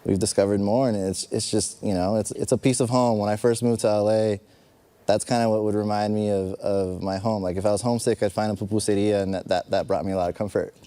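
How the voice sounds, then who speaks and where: Mellow, smooth-voiced Bay Area man. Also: fairly low pitch, fairly even intonation, quick pace. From San Francisco Bay Area. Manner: easygoing, enthusiastic, loosely worded. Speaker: filmmaker and panel guest. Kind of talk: live panel conversation.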